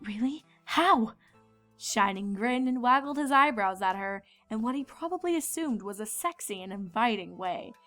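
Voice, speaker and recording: A woman's voice: medium pitch, animated, normal speed, few pauses, sharp tone, soft background music. A woman reading scripted narration as a storyteller.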